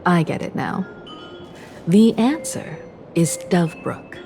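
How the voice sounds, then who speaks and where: A woman voicing a composed, wistful young woman in a game story, talking in a measured, reflective way. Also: lowish voice, expressive, dark tone, faint music behind. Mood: thoughtful. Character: composed, wistful young woman, former scrappy martial artist student. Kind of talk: scripted game story dialogue.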